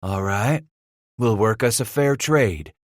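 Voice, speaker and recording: A man's voice: on the low side, takes it slowly, no room sound, clean studio sound. A man voicing a big, hot-tempered frontier trapper in an audiobook, growling in rustic dialect. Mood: sly, gruff bargaining.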